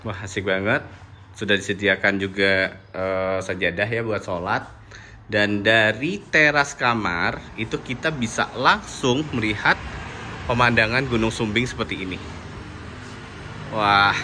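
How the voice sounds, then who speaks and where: A man's voice: fairly low pitch, background noise. A man casually narrating a walk-through tour of a cafe and guesthouse, pointing things out as he goes, recorded on a handheld phone. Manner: friendly, informal, points things out as he walks. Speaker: vlogger touring a cafe and guesthouse. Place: outdoors and indoors at a mountain cafe and lodging.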